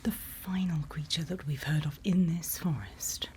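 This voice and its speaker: A woman playing a calm, reassuring monster-hunting guide in a whispered ASMR roleplay, speaking in a hushed, patient, breathy way. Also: sits low, a little slow, slight background noise. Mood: calm, instructive. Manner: hushed, patient, instructive, soothing.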